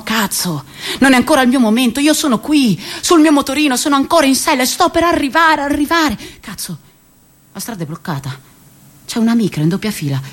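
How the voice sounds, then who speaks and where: A woman's voice: medium pitch, lightly acted. A woman performing a bitter, cocky thirty-year-old jobless man in a radio theatre monologue, restless and profane, swinging between muttering and outbursts. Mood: desperate, bitter.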